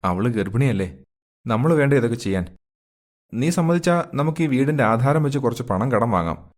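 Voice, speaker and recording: A man's voice: medium pitch, lively intonation, moderate pace, natural voice. A man playing an earnest, insistent middle-aged father and husband in a moral story. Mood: pleading. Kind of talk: acted dialogue in a moral story.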